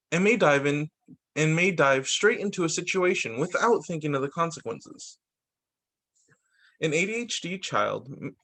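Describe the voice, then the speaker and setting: A man with a fairly high voice reads a picture book to children in a teacher's story-time style. His pitch swings widely as he goes, with expressive, deliberate emphasis and occasional restarts. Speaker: teacher reading picture books.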